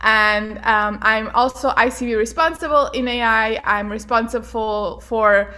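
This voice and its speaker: A woman's voice: a little high, measured pace, bright, forceful tone. A young woman from Portugal, giving an upbeat, bubbly presentation over a video call with many fillers. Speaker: keynote speaker.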